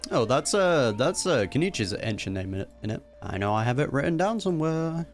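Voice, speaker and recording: A woman's voice: rumbling low, very animated, brisk, faint music behind. A woman streamer giving casual, slangy, teasing reaction commentary over a video game. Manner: laughs often, teasing, slangy. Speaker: gaming streamer reacting to a story quest.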